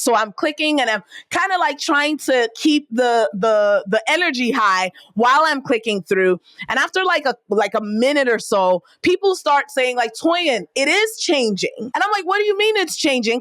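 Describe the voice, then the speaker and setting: A woman with a Nigerian accent, a podcast guest telling stories in a lively, casual way. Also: dry recording. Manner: animated, acts out quotes with big pitch jumps. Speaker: podcast guest, entrepreneur and speaker. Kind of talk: storytelling in casual interview.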